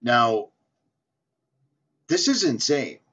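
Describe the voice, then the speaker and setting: A man's voice: medium pitch, big pitch swings, drawn-out words, a bit bright, slight background noise. A man rambling insistently in a casual monologue, repeating himself and stumbling as he reads aloud. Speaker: flat earth video maker. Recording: dull lo-fi audio.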